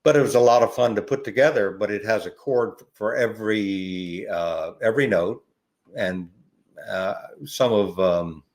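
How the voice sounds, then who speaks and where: An older man with a low voice, chatting as a guest on a podcast. He wanders through his thoughts with frequent ums, uhs and restarts. His talk stays unhurried and friendly. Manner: rambling, halting with many fillers and restarts. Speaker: podcast guest, ukulele educator. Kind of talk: relaxed conversational interview.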